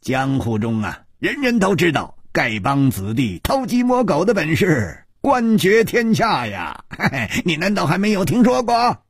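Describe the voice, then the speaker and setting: A man's voice: fairly high pitch, very animated, a little slow, darkish tone, breathy edge, no echo, clean studio sound, voice pushed up, heavily acted. A man voicing a sly, chuckling male beggar-sect member in an audiobook. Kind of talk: audiobook storytelling.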